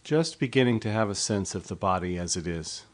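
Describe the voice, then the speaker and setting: A man leading a guided body scan meditation in a slow, soft, unhurried way. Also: on the low side, expressive.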